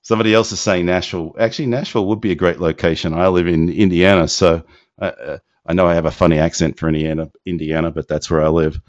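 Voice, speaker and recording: Deep-voiced man chatting through a webinar Q&A. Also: fairly even intonation, fast talker, no echo, lo-fi sound. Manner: informal and chatty, thinking aloud as he reads questions. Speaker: company leader hosting a members' webinar. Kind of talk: live webinar Q&A.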